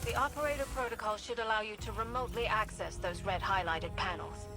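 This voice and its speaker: A woman playing a brisk, commanding mission handler who gives crisp directions in a sci-fi video game. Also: medium pitch, music in the background, close to an everyday voice. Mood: focused.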